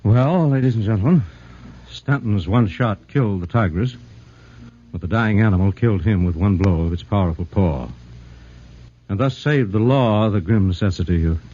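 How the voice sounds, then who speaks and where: An actor playing a commanding newspaper editor, forceful and authoritative, in 1940s radio drama style. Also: male voice, fairly low pitch, normal speed, lightly acted. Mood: grave, composed. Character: commanding, urgent male crusading newspaper editor. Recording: dull 1940s radio recording.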